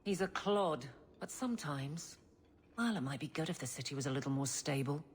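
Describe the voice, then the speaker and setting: A woman's voice: low voice, animated, moderate pace, a little hiss or hum. A woman voicing a blunt, sincere, duty-bound guard captain in a video game, frank and firm. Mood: frank, exasperated. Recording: soft music behind the voice.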